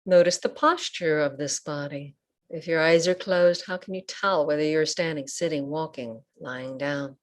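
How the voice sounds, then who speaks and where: Low-voiced woman guiding a meditation. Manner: gentle, unhurried, pausing between short phrases. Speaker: Buddhist nun and meditation teacher. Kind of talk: guided meditation. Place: online group session over video call.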